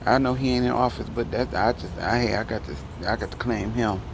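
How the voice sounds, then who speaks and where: A man's voice: lowish voice, steady tone, a little fast, gravelly, noisy background. A man from Detroit with a Detroit accent, ranting his opinions in a rambling vlog. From Detroit, Michigan. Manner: heated, rambling, talks to the camera. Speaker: vlogger.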